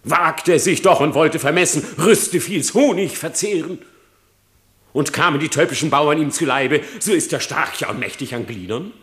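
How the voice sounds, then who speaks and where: An actor playing a sly, smooth-tongued fox in a radio play, declaiming in an unctuous, falsely humble way. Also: male voice, medium pitch, fairly bright tone. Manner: unctuous, wheedling, theatrical declamation. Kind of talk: classic German radio play verse acting.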